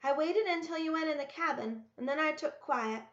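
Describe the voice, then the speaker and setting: A woman's voice: sits high, normal speed, voice pushed up. A woman voicing a fierce, sulky little brother, plaintive, in a storybook. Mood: confessing. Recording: roomy, echoey, dull lo-fi sound.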